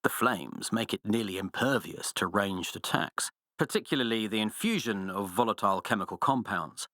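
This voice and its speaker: Cold pompous evil scientist baron for a game, deep voice, super dry close recording, theatrical and precise. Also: male voice, normal speed, bright, forceful tone, dry recording. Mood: clinical detachment. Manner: theatrical, condescending, precise diction. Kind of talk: video game villain voice acting, monologues and research logs.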